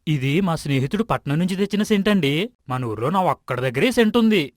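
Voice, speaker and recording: A man playing a humble, deferential village man in an animated moral story. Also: a little high, a little fast, no room sound, clean studio sound. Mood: polite.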